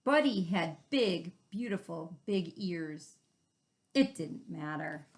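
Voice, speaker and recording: A woman hosting a children's read-aloud, chatting and explaining the story in animated asides. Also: on the low side, takes it slowly. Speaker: read-aloud host, teacher.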